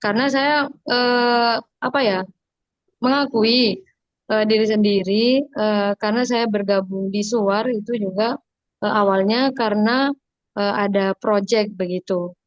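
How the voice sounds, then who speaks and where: A woman's voice: mid-range voice, fairly even intonation, a little slow, dry recording. A young woman sharing her view on a video call, hesitant and full of fillers. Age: young adult. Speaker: online forum participant. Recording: dull dry video call audio.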